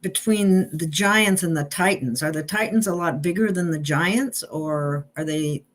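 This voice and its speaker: A woman interviewer chatting casually, often halting between phrases with pauses and ums. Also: on the low side. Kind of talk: casual conversation.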